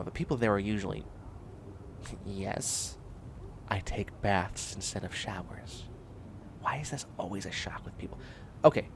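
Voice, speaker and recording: Man playing weary sci-fi student, low creaky voice, wry, fussy, rambling, comedic audio roleplay. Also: a little hiss or hum. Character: tired, prickly, bookish male biotech student in sci-fi future. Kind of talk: scripted comedic audio roleplay, one side of a conversation.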